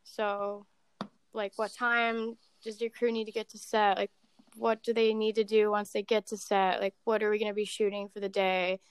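Woman, 20s, podcast guest; flat, level delivery, crisp resonant tone. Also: medium pitch, normal speed, no room sound. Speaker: podcast guest, assistant director. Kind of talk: casual chat.

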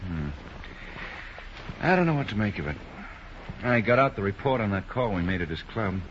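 A man playing a deliberate, skeptical police sergeant in a 1950s radio drama, with measured, doubtful delivery. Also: fairly low pitch, very animated. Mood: businesslike. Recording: dull, noisy 1950s radio recording.

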